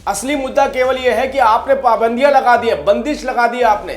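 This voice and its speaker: Male political panelist, high voice, emphatic and repetitive, roomy echoing sound. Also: fairly quick. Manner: emphatic, repetitive, rhetorical questioning. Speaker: debate panelist. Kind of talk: heated political debate argument.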